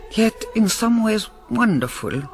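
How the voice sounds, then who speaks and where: A woman playing a kindly, dignified older Mother Superior in a radio drama, measured and gently authoritative, with dry wit. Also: mid-range voice, big pitch swings, a little hiss or hum. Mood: solemn, kindly.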